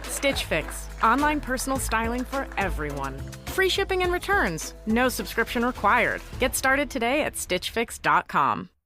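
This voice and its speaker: A woman's voice: on the high side, sing-song, measured pace, bright, forceful tone, soft background music. A woman announcer giving an upbeat scripted advertisement.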